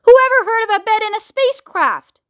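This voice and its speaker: A woman voicing a pompous, short-tempered male President with a rasping voice in a children's audiobook, barking orders. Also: sits high, animated, brisk, pushed and bright, dry recording, studio quality. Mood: incredulous.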